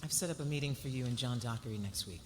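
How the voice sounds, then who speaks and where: An actress playing a poised, commanding senior law-firm boss with dry amusement, in a live table read of a TV drama. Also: female voice, very deep voice, fast talker. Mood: assured, pleased. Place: large hall, live festival stage. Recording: echoey hall with background noise.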